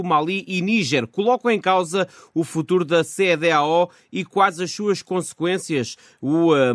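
A man's voice: on the high side, fairly bright tone, no room sound. A man presenting scripted radio news as host, speaking into a studio microphone.

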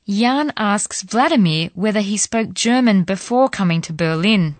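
A woman narrates a language lesson in a measured, explanatory tone. Her voice sits in a mid range and is recorded dry and close, with no room sound. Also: mid-range voice, lively intonation, no room sound. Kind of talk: scripted narration.

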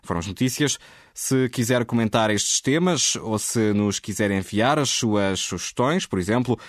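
A man reading scripted radio news in a steady, measured way. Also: lowish voice, dry recording, studio quality. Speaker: newsreader.

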